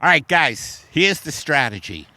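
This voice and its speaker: Brief: man improvising a rambling coach, bright forceful edge, dry close sound. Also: very animated, a little slow, dry recording. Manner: ranting, rambling, breaks into high put-on voices. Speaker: comedian playing a football coach. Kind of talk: improvised comedy sketch. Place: outdoors in a park.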